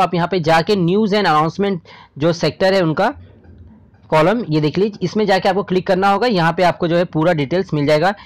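A man with an Indian accent presenting as a channel host, explaining informally and repetitively, often addressing viewers as friends. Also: high-pitched. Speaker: host of a government job news channel. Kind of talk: informal explainer narration over a screen recording.

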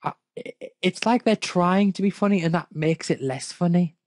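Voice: mid-range voice, normal speed, darkish tone, dry recording. A person reading fan fiction aloud casually, with hesitant, dry critical asides. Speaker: fanfic reader and commentator.

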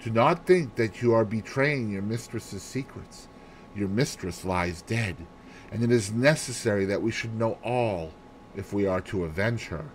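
A man voicing a calm, self-assured, probing detective in an audiobook, with a businesslike, deliberate manner. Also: on the low side, a little hiss or hum, natural voice, low-quality recording. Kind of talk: audiobook character voice.

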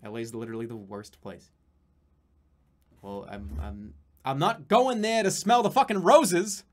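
A man's voice: medium pitch, a little slow. Rambling, swearing man on a home stream. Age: 30s. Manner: rambling, swearing, jumps to excited yelling. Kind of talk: casual live-stream chat reading donations. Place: small room at home, indoors.

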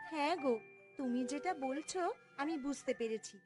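A woman's voice: high voice, unhurried. A woman playing a young wife and repentant daughter-in-law in a family cartoon, earnest and emphatic. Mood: reassuring. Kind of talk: Bengali family cartoon acting. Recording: loud music behind the voice.

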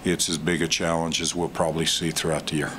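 A middle-aged man, a head coach, answering post-game press questions in a measured way with frequent pauses, speaking into a desk mic in a press room. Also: rumbling low, level tone, fairly bright tone, a little hiss or hum. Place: press room in front of a sponsor backdrop. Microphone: desk microphone.

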